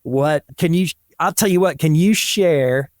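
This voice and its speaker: A man with a slightly high voice hosts a podcast in a casual, conversational style. He speaks emphatically and colloquially, often tagging his points to check the listener follows. The sound is dry and close. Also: unhurried, a little mellow, no room sound. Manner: colloquial, emphatic, checks in with "right?" and "you know". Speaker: podcast host.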